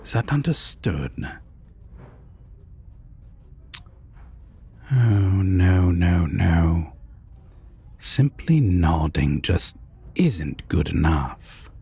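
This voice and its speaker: Possessive vampire villain, low-pitched, gravelly, breathy, taunting, dominant, audio roleplay. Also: male voice, animated, dark tone, heavily acted. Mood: threatening. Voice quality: breathy, gravelly, half-whispered. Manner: slow, deliberate, taunting, with long pauses.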